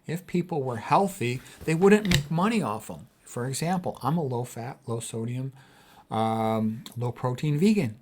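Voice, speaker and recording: A sixty-year-old male physician reads quotes aloud and comments on them at length. His voice sits at a middle pitch. He talks casually but with emphasis, circling back to repeat his main points. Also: expressive, measured pace, darkish tone. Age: 60s. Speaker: physician host.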